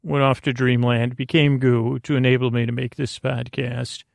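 Male bedtime podcast host: soothing gravelly voice, subdued intonation, halting and rambling, self-deprecating mumble, close dry sound. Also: medium pitch, level tone, no echo.

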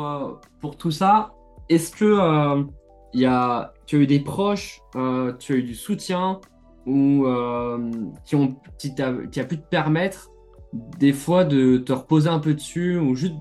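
A man's voice: on the high side, a little mellow. A man hosting a podcast interview, asking long rambling questions with many hesitations. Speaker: podcast host, mental coach.

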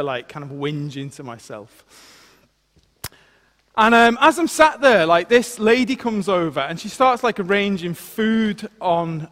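High-voiced preacher in an echoing hall. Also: male voice. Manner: animated, self-deprecating storyteller. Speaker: former vicar giving a talk. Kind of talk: sermon with a personal anecdote.

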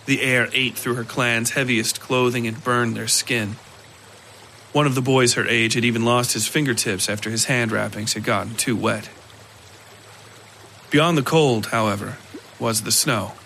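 Bright-voiced male storyteller, measured and grave. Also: medium pitch, steady tone, a little hiss or hum. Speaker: audio fiction narrator. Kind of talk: scripted literary story narration.

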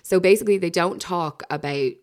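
A woman's voice: fairly low pitch, fairly quick, darkish tone. An Irish woman hosting a podcast in casual, chatty talk, with lots of fillers and restarts. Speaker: podcast host. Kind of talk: casual chat.

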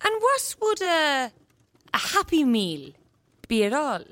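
A pious old-fashioned mammy, played by a woman, earnest and quaint in her phrasing. The voice is high and bright, close and dry. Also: wildly swooping pitch, slow, no room sound, full character voice. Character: pious Irish farm mother, old-fashioned.

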